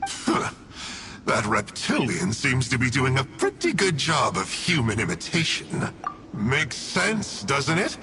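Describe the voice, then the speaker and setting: An actor playing a sly, smug villain of a dark order in a fantasy game, teasing and theatrical in a conversational way. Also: male voice, medium pitch, wildly swooping pitch, takes it slowly, slight background noise, exaggerated voice. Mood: smug, explaining. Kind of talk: fantasy video game voice acting.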